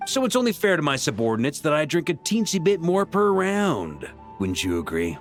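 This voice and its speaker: A man's voice: a little high, wildly swooping pitch, moderate pace. A man voicing a jovial, hard-drinking veteran knight commander in a video game, easygoing and boastful in conversation. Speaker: video game character voice actor. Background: game music.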